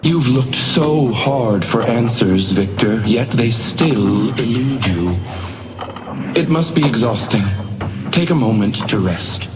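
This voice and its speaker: A supernatural hotel doorman from a video game, speaks with oily, theatrical politeness and veiled menace. His mid-pitched voice swoops widely from phrase to phrase, over prominent music. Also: animated, slow pace. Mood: courteous, insinuating. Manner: theatrical, unctuously polite, insinuating. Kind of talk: scripted video game character lines.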